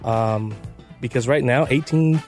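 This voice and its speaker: A man on a political talk panel who speaks in an animated way, stumbles over restarts and jokes with the others. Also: mid-range voice, measured pace, faint music behind. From Illinois. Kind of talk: casual political discussion.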